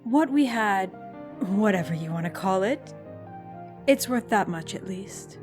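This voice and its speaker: A woman's voice: mid-range voice, lively intonation, a little slow, music in the background, natural voice. A woman playing an indifferent, aloof lover with a bored manner in an audio drama. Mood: aloof, faintly amused.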